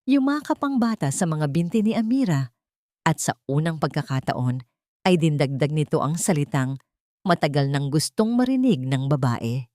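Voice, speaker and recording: A woman with a fairly low voice reads a dramatic story. Her phrasing is deliberate and sentimental, like scripted audio drama narration. The sound is close and dry, with little room in it. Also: expressive, mellow, no echo.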